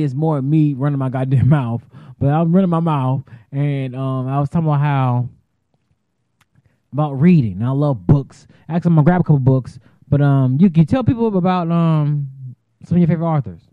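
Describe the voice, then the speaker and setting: A man's voice: on the high side, normal speed, no room sound. A man co-hosting a casual podcast chat, joking around in a loose, profane way, streamed live from a phone. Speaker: podcast co-host. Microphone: phone livestream. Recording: dry tinny phone-like sound.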